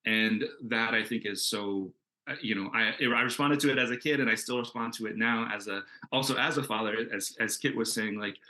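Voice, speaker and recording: Bright, forceful low-voiced man chatting. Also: low-quality recording. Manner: chatty, halting, thinking aloud. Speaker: comic book creator and panel guest. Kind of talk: casual panel Q&A. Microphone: video call.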